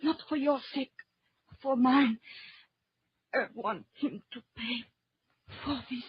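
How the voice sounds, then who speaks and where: Very husky, intense radio femme fatale. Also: female voice, high voice, slow pace, no room sound, heavily acted. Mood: wounded, bitter. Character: intense, dramatic female dancer, villain's spurned accomplice. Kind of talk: old-time radio adventure serial acting. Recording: muffled, dry old radio recording.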